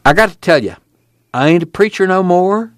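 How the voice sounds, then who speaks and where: A man's voice: high voice, animated, normal speed, dry recording, studio quality, pitched above the performer's own voice. A man voicing a humble, nervous former preacher who talks earnestly in folksy dialect.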